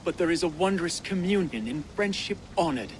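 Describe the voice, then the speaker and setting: An actor playing a devout, peace-minded convert warrior, earnest and measured, in a video game. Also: male voice, high voice, expressive, a little hiss or hum. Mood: earnest, serene. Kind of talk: dramatic video game acting.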